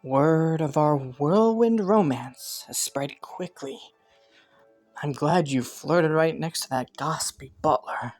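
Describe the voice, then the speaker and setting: A woman's voice: sits low, slow pace, darkish tone, faint music behind. A woman playing a young scheming prince in a romantic audio roleplay, courteous and formal, with flustered stammers. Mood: businesslike, composed.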